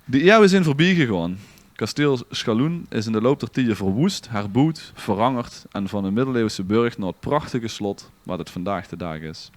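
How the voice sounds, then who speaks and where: A man reading a legend aloud in a relaxed, theatrical storytelling manner. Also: mid-range voice, normal speed, hardly any pauses, dry recording. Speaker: podcast host. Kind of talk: dramatic read-aloud storytelling.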